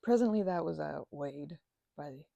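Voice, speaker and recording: A woman with a bright, slightly low and breathy voice, recorded very dry and close. She plays an affectionate, chatty housemate in a casual ASMR roleplay. Her delivery is playful and halting, often stammering as she teases. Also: animated, no echo, highs cut off. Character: chatty affectionate female housemate recovering from migraine. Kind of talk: ASMR roleplay, one-sided casual chat.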